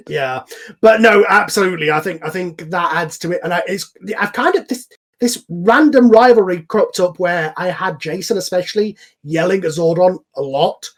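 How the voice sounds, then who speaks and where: Male host, fairly high-pitched, loose and informal, rambling conversational delivery for an online cast chat. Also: moderate pace. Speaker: host and series creator. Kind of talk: casual group chat, hosting. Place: online voice call.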